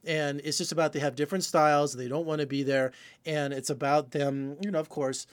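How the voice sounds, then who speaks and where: Higher-pitched, enthusiastic man reviewing films. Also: steady tone, a little fast, smooth. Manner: enthusiastic, emphatic. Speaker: film reviewer. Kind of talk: casual film review chat.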